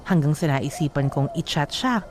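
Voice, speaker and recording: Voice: mid-range voice, lively intonation, normal speed, noisy background. A narrator reading a listener's love-story letter aloud in earnest, emotional storytelling on a radio drama program.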